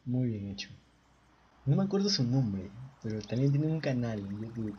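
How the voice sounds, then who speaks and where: A man's voice: medium pitch, very animated, normal speed, muffled recording, a little hiss or hum. A young man giving casual, offhand commentary as a video game streamer. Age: young adult. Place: small bedroom at home, indoors. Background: game music.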